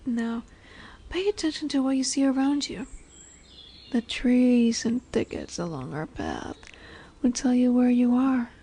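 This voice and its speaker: A woman voicing a dominant but tender lamia in a fantasy roleplay audio drama, speaking softly and soothingly, often dropping into whispers. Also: a little high, animated, a little slow, breathy edge, full character voice. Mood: instructive, protective. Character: dominant, tender female lamia, snake-bodied forest monster.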